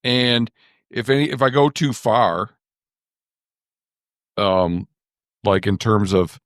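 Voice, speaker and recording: Low, slow male host, casual and wry, dead-dry recording. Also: dry recording. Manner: slow, thoughtful, self-deprecating humor. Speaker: podcast host. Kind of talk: casual podcast chat.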